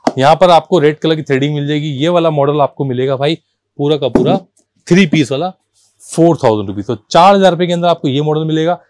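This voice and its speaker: A man gives a casual, persuasive sales pitch as a shop seller, often repeating himself and mixing languages informally. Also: darkish tone, dry recording. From India. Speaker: car accessories shop seller and presenter.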